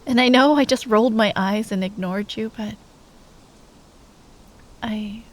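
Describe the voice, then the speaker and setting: A woman playing a proud, grieving royal knight in a fantasy ASMR roleplay, speaking softly and haltingly, often in a breathy whisper, tender with a wry edge. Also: on the high side, lightly acted, poor audio. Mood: wistful.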